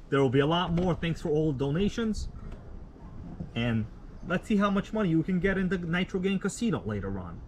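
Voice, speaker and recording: A man from Russia, a native Russian speaker, hosting a casual live stream outdoors at night, rambling in a relaxed, slangy way with many fillers. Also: fairly high pitch, slight background noise, rough recording. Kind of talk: casual live stream chat, reading viewer comments.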